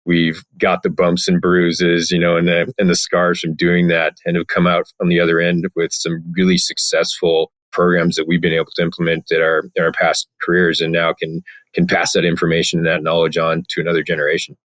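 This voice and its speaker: A man's voice: low-pitched, completely flat delivery, fairly quick, fairly bright tone, no echo. A man speaking as a sustainability partner in a conversational panel discussion, rambling with frequent fillers.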